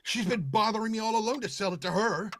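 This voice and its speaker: Man playing high-voiced, stammering, haunted widower. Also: a bit bright, no room sound, clean studio sound. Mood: frantic urgency. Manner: stammering, agitated, halting. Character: haunted, nervous, grieving widower desperate to sell. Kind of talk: old-time radio horror acting.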